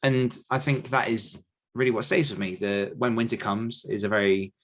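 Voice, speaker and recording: A man with a fairly low voice talks in a relaxed interview setting. He is reflective about his craft, stopping and restarting as he feels his way toward the right phrase. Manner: thoughtful, halting, searching for words. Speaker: podcast guest author answering questions. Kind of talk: casual reflective interview talk.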